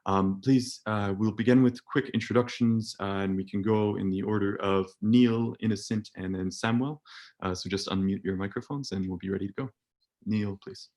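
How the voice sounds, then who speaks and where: A man's voice: fairly low pitch, steady tone, normal speed, darkish tone. A man moderating an online seminar, making loose, conversational announcements with many fillers. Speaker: webinar moderator.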